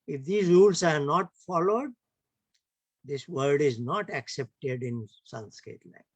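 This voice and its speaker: Man, Indian English accent, online lecture, emphatic, rhetorical tag questions, slightly high voice, slow delivery, dry close sound. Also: slightly rough, no echo. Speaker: lecturer at an online heritage camp.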